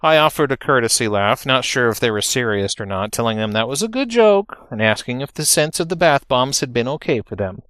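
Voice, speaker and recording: A man's voice: medium pitch, lively intonation, brisk, few pauses, fairly bright tone, no room sound. Guy telling a funny personal story from a script, kinda formal and dry wit, super dry close recording. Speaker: storyteller, narrator. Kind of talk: scripted humorous first-person story narration.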